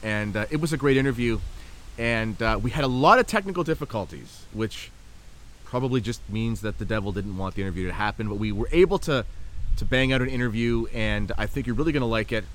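A man's voice: mid-range voice, fairly quick, a bit bright. A man from Canada hosting an interview podcast, chatty and joking, with frequent fillers. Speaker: host.

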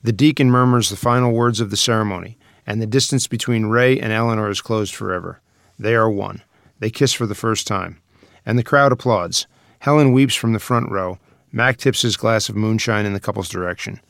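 A man's voice: on the low side, fairly quick, creaky, fairly bright tone, no echo, clean studio sound. A man reading stage directions as scripted narration for a screenplay.

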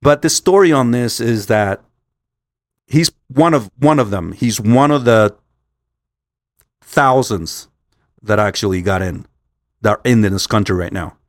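A man's voice: fairly low pitch, lively intonation, unhurried, pauses often, clean studio sound. The guy on a personal vlog recounting his day in stop-start, sweary loops, a Spanish speaker, with no room echo at all. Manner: rambling, repetitive, stop-start with frequent swearing. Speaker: vlogger. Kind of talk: casual storytelling to viewers.

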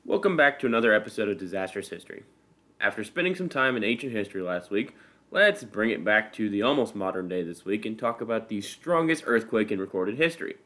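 Male podcast host, Midwestern American, lowish pitch, informal solo explainer with dry, self-deprecating humour. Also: lively intonation, fast talker, bright, forceful tone. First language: English. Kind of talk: casual solo explanatory narration.

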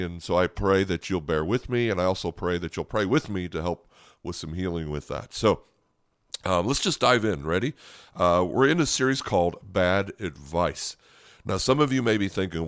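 An American man hosting a conversational sermon podcast as a pastor, speaking earnestly and directly to listeners with a slightly hoarse voice. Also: low voice, no room sound, studio quality.

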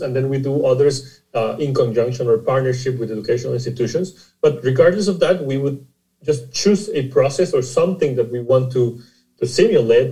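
A man from Costa Rica, a startup CEO, answering interview questions in a halting way, often repeating words as he explains. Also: mid-range voice, warm tone, low-quality recording. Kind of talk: conversational interview.

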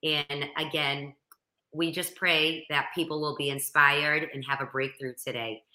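A woman's voice: sits low, steady tone, measured pace, bright, forceful tone, reverberant, low-quality recording. A woman with a strong Boston accent, a motivational speaker chatting earnestly as a podcast guest. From Boston area, Massachusetts. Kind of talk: casual interview chat.

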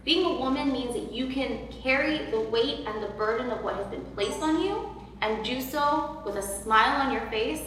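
Loud, earnest woman in echoey hall. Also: high-pitched, normal speed. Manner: earnest, emotional, many fillers and repeats. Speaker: podcast guest. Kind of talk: personal storytelling in an interview. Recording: echoey hall from far off, faint noise.

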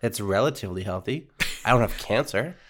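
Man, late 20s, low voice, teasing and deadpan. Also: fairly quick, a little hiss or hum. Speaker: podcast co-host. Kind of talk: casual chat among friends.

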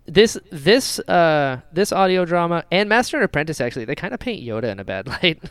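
A man's voice: on the high side, very animated, brisk, clear, resonant tone, no room sound. A man hosting a casual fan discussion podcast, talking animatedly and often slipping into mock quotes of imagined dialogue. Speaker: podcast host.

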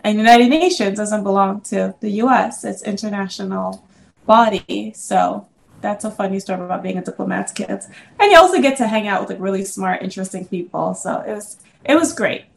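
A middle-aged woman from the Congo, an interview guest and business owner, telling stories in a lively way and laughing often. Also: medium pitch, a little fast, vocal fry. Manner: animated storyteller, laughs often. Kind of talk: casual interview conversation.

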